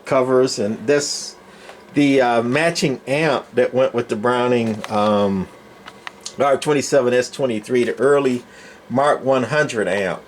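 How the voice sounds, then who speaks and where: A man giving a casual, unscripted show-and-tell as a collector, talking in a rambling, folksy, plainspoken way. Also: mid-range voice. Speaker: radio collector presenting his collection. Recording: clear background noise, room ambience.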